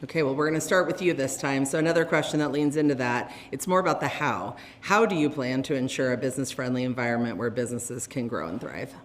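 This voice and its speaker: A woman moderating a candidate forum, asking questions in a brisk, organized way. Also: rumbling low.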